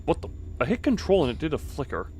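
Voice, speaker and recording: A man's voice: a little high, wildly swooping pitch, fast, darkish tone, slight background noise. A man streaming a video game with casual, wry commentary, often muttering to himself as he plays.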